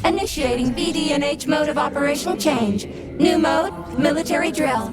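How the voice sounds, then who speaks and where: A woman voicing an obedient female service robot in a video game cutscene, speaking with a flat, machine-like delivery. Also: sits high, normal speed. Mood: dutiful. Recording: music playing loudly behind.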